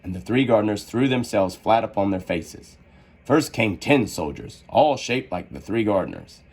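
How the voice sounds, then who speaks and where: A man narrating a children's story aloud in an expressive, theatrical manner. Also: fairly low pitch, normal speed, husky. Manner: animated, theatrical delivery.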